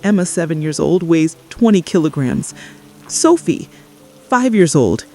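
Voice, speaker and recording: An actress playing a guilt-ridden, traumatized mother in an apocalypse radio drama, confessing haltingly and repeating her phrases in distress. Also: lowish voice, very animated, warm tone, faint music behind, exaggerated voice. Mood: grieving, guilty.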